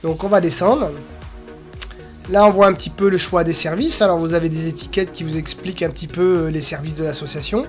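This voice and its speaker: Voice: mid-range voice, steady tone, brisk, faint music behind. A presenter giving an informal, unscripted screen-share walkthrough, rambling casually with little filler sounds. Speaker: presenter of an association's website.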